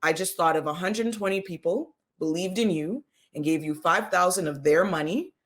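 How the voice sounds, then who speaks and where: A woman's voice: fairly low pitch, normal speed. A woman from the Caribbean, a guest in an interview, candidly recounting her path with easy laughs. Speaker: guest, front-end web developer. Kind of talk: casual interview chat.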